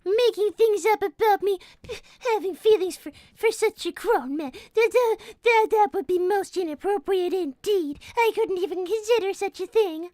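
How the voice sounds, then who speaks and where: A woman's voice: extremely high pitch, moderate pace, hardly any pauses, dark tone, no room sound. A woman voicing a young girl rabbit, a prim, indignant schemer who speaks politely and formally in improvised cartoon roleplay. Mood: hurt, flustered. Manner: polite, prissy, formal wording.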